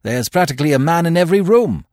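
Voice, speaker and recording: A man voicing a dutiful police superintendent in an audiobook, reporting in a brisk, emphatic, businesslike way. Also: a little high, lively intonation, a little mellow, dry recording, studio quality, voice pushed up, lightly acted. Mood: confident.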